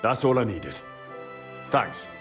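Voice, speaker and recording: A man's voice: lowish voice, sing-song, takes it slowly, over music. An actor playing a dutiful, dry young medieval man-at-arms in a video game, direct and courteous. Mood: businesslike. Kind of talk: video game cutscene acting.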